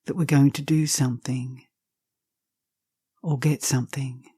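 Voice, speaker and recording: A woman leading a guided meditation, speaking gently and softly in unhurried phrases with long pauses, with some breathiness. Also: a bass voice, steady tone, husky, no echo, studio quality. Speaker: meditation teacher.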